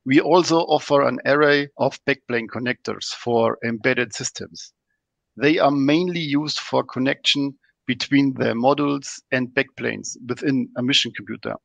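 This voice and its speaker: Man, podcast guest, methodical, gravelly voice. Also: mid-range voice, moderate pace, fairly bright tone, no echo, muffled recording. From Germany. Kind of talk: technical explanation.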